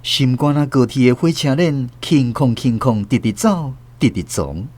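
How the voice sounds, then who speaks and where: A man's voice: mid-range voice, lively intonation, moderate pace, a little mellow, lightly acted. An actor playing an elderly grandfather with a Taiwanese accent, narrating a radio drama in a tender, wistful, literary way. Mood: wistful, stirred. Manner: literary, emotive storytelling.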